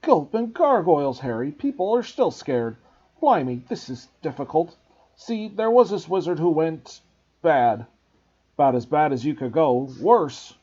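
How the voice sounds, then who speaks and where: Man doing a giant's voice, loud, gruff, folksy, raised pitch, husky, dull lo-fi sound. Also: very animated, a little slow, exaggerated voice. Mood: worried. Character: huge rough-spoken half-giant man, booming and kindly. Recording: dull lo-fi recording.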